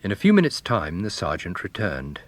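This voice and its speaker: A male storyteller reads scripted fiction dramatically. His voice sits fairly low with very lively intonation, in a dry, close recording. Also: normal speed, dry recording. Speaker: narrator and reader.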